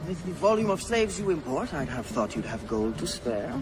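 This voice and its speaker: Pushy, animated scientist. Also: male voice, fairly high pitch, moderate pace, over music. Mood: insistent. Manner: formal, insistent. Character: bold, pushy male scientist seeking funds. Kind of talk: dramatic video game acting.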